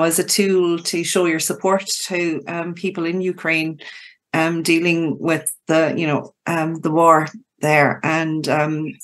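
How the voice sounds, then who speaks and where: A woman, effusive and chatty, interviewing a guest in a casual podcast style. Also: fairly low pitch.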